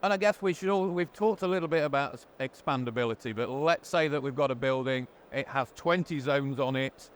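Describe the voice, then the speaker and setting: A man presenting a product explainer interview, talking in a measured, explanatory way on a trade show floor. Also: medium pitch. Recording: faint background noise. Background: faint ambient noise.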